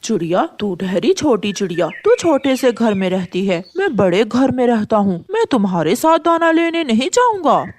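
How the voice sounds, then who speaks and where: Woman as a haughty cartoon pigeon, animated pitch. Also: normal speed, few pauses, no room sound. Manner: condescending. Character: haughty, snobbish male pigeon. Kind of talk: children's cartoon character voice.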